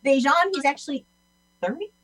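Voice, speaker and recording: A woman's voice: high voice, a little fast, no echo. A woman talking casually in a group conversation. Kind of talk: casual chat.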